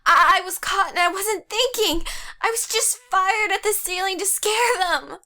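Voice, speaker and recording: A woman's voice: very high voice, moderate pace, sharp tone, heavily acted. A woman playing a frightened, desperate woman in an amateur audio drama, pleading and stammering in panic.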